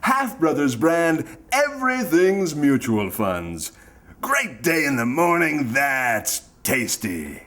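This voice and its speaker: An actor playing a smooth-talking old-time radio pitchman, with a theatrical, mock-sincere sales delivery. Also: sing-song, slow, fairly bright tone, heavily acted. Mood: folksy, persuasive. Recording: music behind the voice.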